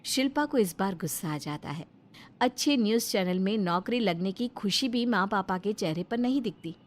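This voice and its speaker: A woman's voice: medium pitch, lively intonation, normal speed, slight background noise. A woman storyteller narrating a scripted moral story.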